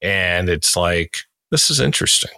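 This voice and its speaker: A man's voice: low voice, lively intonation, normal speed, sharp tone, no room sound. A man hosting a casual interview podcast in a laid-back, conversational way. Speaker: podcast host.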